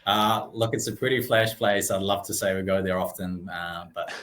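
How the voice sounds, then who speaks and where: Deep-voiced Russian-born man on a call. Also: moderate pace. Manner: relaxed, friendly, many ums, laughs easily. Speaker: real estate branch manager, guest. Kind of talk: casual video call interview.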